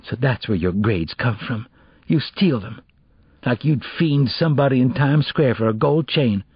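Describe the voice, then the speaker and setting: Casting: male reader as proud, hurt schoolgirl, terse and scornful, slightly rough tone, dry close sound. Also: medium pitch, expressive, unhurried, dry recording, muffled recording, pitched above the performer's own voice. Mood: scornful, angry. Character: proud, indignant teenage schoolgirl, sharp-tongued and hurt.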